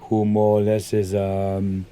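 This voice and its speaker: A man from Belgium, a football coach, answering interview questions in halting full of ums and loose grammar. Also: lowish voice, monotone, mellow, a little hiss or hum.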